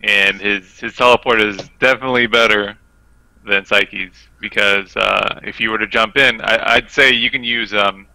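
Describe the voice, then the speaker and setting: A man's voice: lowish voice, fairly even intonation, moderate pace, pushed and bright, poor audio. A man giving his casual take on a game as a guest on a podcast. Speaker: guest player on a gaming podcast. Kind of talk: casual chat, game analysis.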